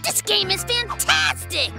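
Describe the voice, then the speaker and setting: A woman voicing an excitable young male polar bear cub who talks like a slangy, dramatic gamer in a children's cartoon. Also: very high voice, a little slow, vocal fry, over music, heavily acted. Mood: excited, impatient. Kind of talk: children's animated series dialogue.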